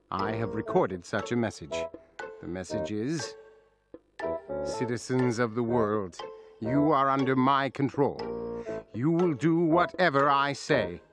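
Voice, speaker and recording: Man doing a pompous genius mouse, measured precise delivery, music under. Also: medium pitch, close to an everyday voice. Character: scheming male lab mouse genius, pompous.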